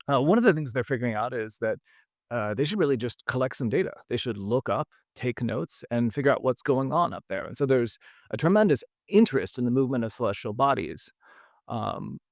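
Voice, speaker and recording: A man hosting a podcast, telling a history story in a relaxed, conversational way, with frequent fillers. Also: mid-range voice, no room sound, studio quality. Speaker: podcast host. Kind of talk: casual storytelling narration.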